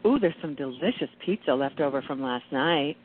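Over a thin, phone-like line comes a kittenish angel, acted by a woman. She is coy and teasing, with pitch that swoops playfully in radio play style. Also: animated, normal speed, slight background noise. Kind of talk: amateur radio play acting.

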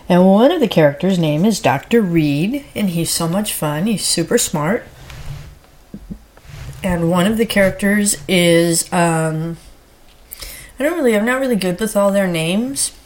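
A woman's voice: on the low side, darkish tone. A woman hosting a casual solo podcast, a little stuffy from a head cold, chatty and rambling with lots of fillers. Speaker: podcast host.

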